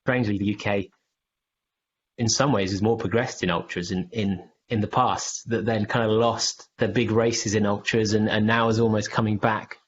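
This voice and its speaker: A man's voice: lowish voice, fairly even intonation, normal speed, lo-fi sound, a little hiss or hum. A British man hosting a podcast, asking long rambling questions in a casual way. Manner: rambling, loosely built questions. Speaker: podcast host.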